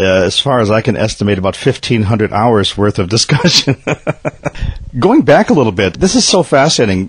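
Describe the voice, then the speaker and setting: Male interviewer, mid-range husky voice, measured and deferential, recorded dry. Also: medium pitch, no room sound. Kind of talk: thoughtful interview questioning.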